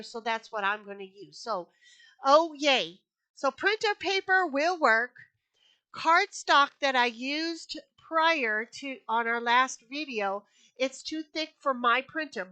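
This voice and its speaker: A woman walks through a craft project in a high, unhurried voice, chatting and repeating herself as she works. She sounds a little sniffly from allergies. The sound is close and dry. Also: sharp tone, no echo. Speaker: craft channel host. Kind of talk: casual crafting tutorial. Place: home craft room.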